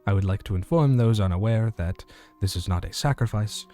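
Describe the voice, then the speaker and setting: A man playing a small-town radio host in an audio drama, reporting eerie fantasy news in a measured, formal announcer manner. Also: on the low side, warm tone, faint music behind, lightly acted. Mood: informative. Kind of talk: scripted community radio news narration in audio fiction.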